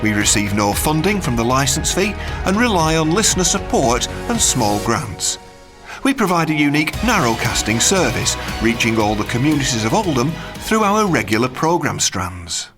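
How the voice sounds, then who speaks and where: A man's voice: medium pitch, big pitch swings, normal speed. Man presenting, music behind. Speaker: community radio presenter. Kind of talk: promotional narration and live radio presenting.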